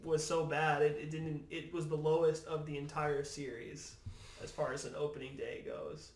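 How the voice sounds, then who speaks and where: A man's voice: fairly high pitch, flat delivery, normal speed, a little mellow, a little hiss or hum. A man trading casual banter about movies as a panelist on a podcast. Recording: echoey room, distant from the mic.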